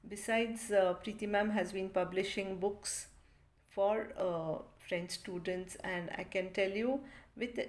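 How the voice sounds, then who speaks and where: Woman, French teacher, appreciative testimonial, natural fillers, mid pitch. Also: fairly even intonation, slow pace. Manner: warm, appreciative, with frequent fillers. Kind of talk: recorded testimonial.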